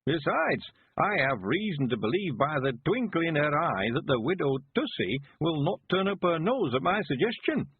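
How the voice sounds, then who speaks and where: A man voicing a cheerful, frail 91-year-old man, quavering and slow, rustic and stubborn. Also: fairly high pitch, big pitch swings, slightly rough, no room sound, voice pushed up, exaggerated voice. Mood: cheery. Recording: phone-like lo-fi sound.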